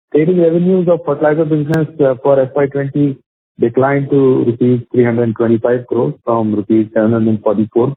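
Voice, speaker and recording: A man with an Indian accent, a company executive, reads out prepared financial results on an earnings call, haltingly and stumbling over figures. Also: mid-range voice, slightly rough. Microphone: telephone conference call. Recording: muffled phone conference line, roomy echo.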